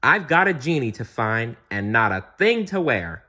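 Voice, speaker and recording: A man voicing an excitable, sentimental, goofy genie in a theatrical, exclamatory way for a children's storybook. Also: medium pitch, normal speed, highs cut off, voice pushed up. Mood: rushed, excited.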